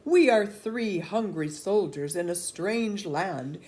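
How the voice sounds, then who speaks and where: Storybook soldier, played by a woman reader: hungry, hopeful man, declaiming boldly with animated pitch. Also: slow pace. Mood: bold, proclaiming. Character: hungry, bold, hopeful marching soldiers, adult men. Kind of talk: storybook character reading.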